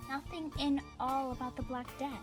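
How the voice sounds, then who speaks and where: A woman's voice: high-pitched, fairly even intonation, normal speed, over music, voice pushed up, close to an everyday voice. A woman voicing an anxious, skeptical young female pony in a cartoon fan story. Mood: worried.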